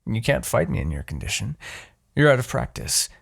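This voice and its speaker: A man playing a proud, vengeful demon king in a fantasy roleplay, speaking with a gravelly, husky voice and a theatrical, deliberate, imperious manner. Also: mid-range voice, big pitch swings, heavily acted. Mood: smug, threatening. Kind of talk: fantasy ASMR roleplay monologue.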